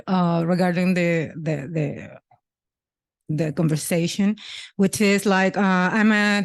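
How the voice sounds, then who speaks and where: A woman with a Chilean Spanish accent speaks off the cuff at a conference. Her pitch is average and she often pauses and restarts to find her words. The recording is dry, with little room sound. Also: no room sound. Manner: hesitant, searching for words. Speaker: conference participant, executive director of an institution. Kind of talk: unscripted remarks at a conference discussion.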